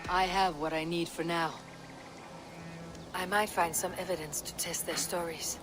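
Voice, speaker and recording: A woman playing a stern, shrewd female Viking raider in a video game, questioning people in a measured, terse way. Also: fairly low pitch, fairly even intonation, loud music behind the voice, close to an everyday voice. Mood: suspicious. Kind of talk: video game character acting.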